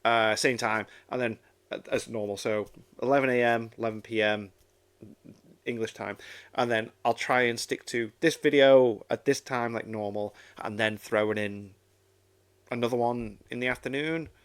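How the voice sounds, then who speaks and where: A man's voice: medium pitch, fairly even intonation, normal speed, smooth. A man hosting a reaction video in casual chat, enthusiastic and rambling, often repeating himself.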